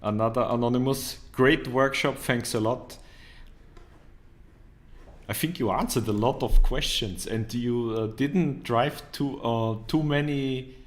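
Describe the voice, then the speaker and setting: Male moderator, unhurried, deliberate, joking, easygoing, reads out viewer comments, video call. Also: mid-range voice. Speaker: event moderator. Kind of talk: hosting a live Q&A, reading viewer comments.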